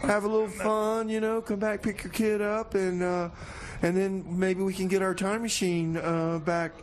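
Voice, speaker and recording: A folksy hustler of an uncle in a comedy radio play, acted by a man. His voice runs high, and he rambles along in an exasperated way. There is faint noise behind him. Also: level tone, moderate pace, close to an everyday voice. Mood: folksy, cheerful pitching. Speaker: radio show host.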